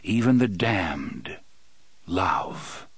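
A man voicing a weary, stern gunslinger who speaks calmly and steadily in a lowered voice. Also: breathy edge, dry recording, full character voice. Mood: calm, solemn. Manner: calm, low, measured. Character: grim, weary older male gunslinger, calm and stern.

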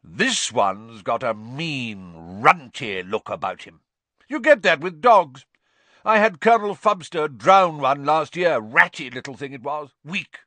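A man voicing a loud, pompous, spiteful middle-aged aunt who has been drinking, in an audiobook. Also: a little high, sing-song, measured pace, no echo, pitched above the performer's own voice, full character voice. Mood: smug, sneering. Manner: pompous, sneering, emphatic. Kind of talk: audiobook character voice.

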